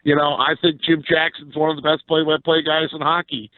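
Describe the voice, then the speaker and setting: American man, higher-pitched voice, blunt and opinionated, casual sports radio chat, dry dull lo-fi audio. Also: level tone, a little fast, no room sound. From Philadelphia area, USA. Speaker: sports talk radio guest.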